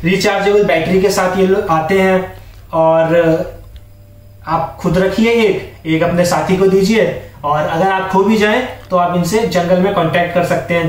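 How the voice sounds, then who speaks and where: Young Indian male vlogger, instructive product presenter, high register, recorded far from the mic in a bare room. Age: young adult. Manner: instructive, addresses viewers as friends. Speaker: vlogger presenting camping and hiking gear. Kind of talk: explanatory product showcase to camera, Hindi mixed with English. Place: indoors, bare home room with wooden floor. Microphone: camera or phone a few metres away. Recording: echoey bare room, distant camera microphone.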